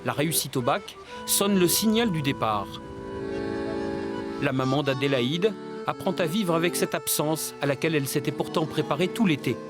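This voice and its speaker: A man reading scripted narration for a television magazine report, in a measured, even storytelling manner. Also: a little high. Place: voice-over booth. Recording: voice-over over clear music. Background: music bed.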